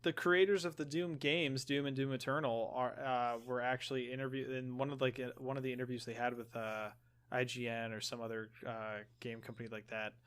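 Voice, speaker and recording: A man talks as a podcast host at a medium pitch. His delivery is loose and conversational, rambling a little as he explains things. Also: level tone, fairly quick, fairly bright tone, clear, resonant tone. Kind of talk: casual podcast chat.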